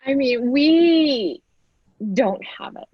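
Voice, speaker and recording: A woman's voice: sits high, expressive, very slow, dry recording, highs cut off. A woman whose first language is Telugu, speaking frankly and emphatically as a panelist in a panel discussion.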